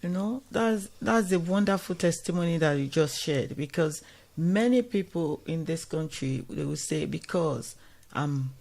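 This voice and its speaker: Fairly high-voiced radio host, man. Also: lively intonation, a little slow, vocal fry, a little mellow. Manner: encouraging, with religious phrasing. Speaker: radio talk show host. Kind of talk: casual radio chat. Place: radio studio.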